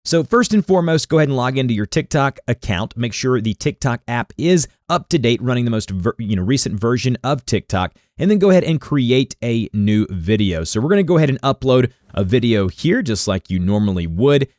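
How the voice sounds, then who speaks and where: Man narrating a tutorial, lively pitch, dry sound. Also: fairly quick, hardly any pauses, no echo. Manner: informal step-by-step explaining. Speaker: tutorial host. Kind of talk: instructional screen-recording narration.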